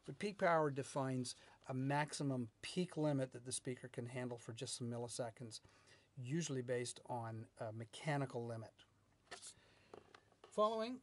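Male, middle-aged, technical presenter. Mid-range voice, measured and a little halting, explaining to camera. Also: mid-range voice, slight background noise. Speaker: loudspeaker R&D manager, instructor. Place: small indoor video studio with whiteboard.

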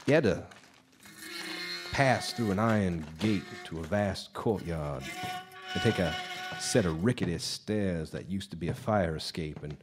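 A man acts out a haunted bluesman in a live radio play, drinking in a bar. His voice is low and weary, and he tells his tale haltingly and reluctantly over music. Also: lively intonation. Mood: haunted, grave. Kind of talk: live radio drama acting. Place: live stage performance of a radio drama.

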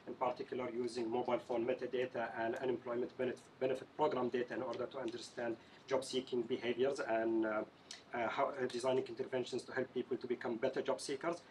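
A young man introduces his research across a conference table, earnest and peppered with hesitations. His pitch sits in a middle range, with a faint hiss behind him. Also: darkish tone, poor audio. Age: young adult. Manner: earnest, many uh fillers. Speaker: PhD student participant. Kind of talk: self-introduction at a seminar. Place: conference room around a table.

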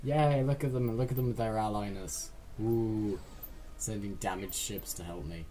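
A man's voice: fairly low pitch, moderate pace, warm tone, a little hiss or hum, low-quality recording. A British man hosting a gaming video with casual, rambling commentary, full of silly muttered asides and self-corrections.

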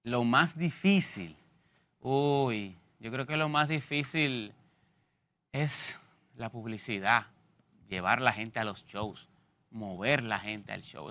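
A man in his thirties with a Dominican accent, a stand-up comedian talking animatedly as a guest on a studio interview show. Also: medium pitch. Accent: Dominican Spanish. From Dominican Republic. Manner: gestures with his hands, lively and joking. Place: TV studio set.

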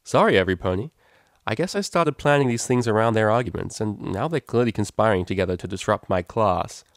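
A man's voice: on the low side, animated, a little fast, no echo, clean studio sound. A man voicing a weary, resigned male pony tutor addressing his class.

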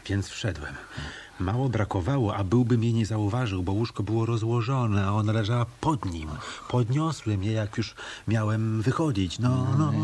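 Man acting low-voiced hard-boiled detective. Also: darkish tone, slight background noise, natural voice. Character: tough, wry male hotel detective. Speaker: actor in a radio drama. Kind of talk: Polish radio play acting.

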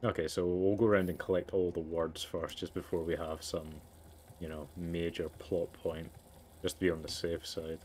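A man's voice: low voice, level tone, normal speed, a little mellow, a little hiss or hum. A man doing let's play commentary and reading a game's narration aloud. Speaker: let's player. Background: game music.

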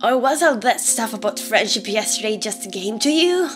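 A woman's voice: a little high, expressive, normal speed, lightly acted. A woman voicing a brash, hot-tempered teenage monster girl in a dramatic comic dub, blunt and confrontational but caring underneath. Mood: awkward, defensive. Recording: background music under the voice. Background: music.

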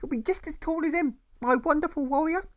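A man's voice: very high voice, brisk, fairly bright tone, pitched above the performer's own voice. A man voicing a doting, evasive mother in an audiobook story, gushing and effusive.

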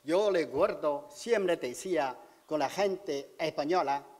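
A man in his sixties from China, speaking halting, simple Spanish with a Chinese accent in a public speech at a podium in a large auditorium. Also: fairly high pitch, darkish tone. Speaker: community speaker at civic event. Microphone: podium microphone. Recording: hall reverb.

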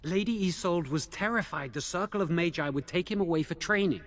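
A man's voice: on the high side, a little fast, natural voice. An actor playing an anxious, guilt-ridden young male mage in a fantasy video game, speaking in an earnest, defensive, pleading way. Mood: earnest, explaining.

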